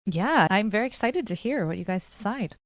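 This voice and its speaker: A woman's voice: mid-range voice, lively intonation, a little fast, no echo. A woman discussing books in an earnest, emphatic way. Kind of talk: book discussion.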